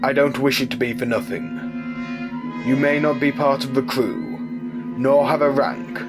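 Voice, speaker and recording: Guy as noble rabbit space captain, mid voice, sincere, loud music behind. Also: medium pitch, fairly even intonation, a little slow, close to an everyday voice. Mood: tender, regretful. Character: earnest, gallant male rabbit starship captain. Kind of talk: audio drama acting.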